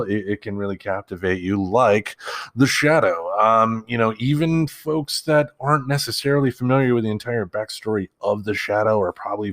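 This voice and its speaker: A man hosts a casual solo podcast. His voice is fairly low and the sound is dry and close. He talks in a halting, rambling, conversational way. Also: no echo. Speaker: podcast host.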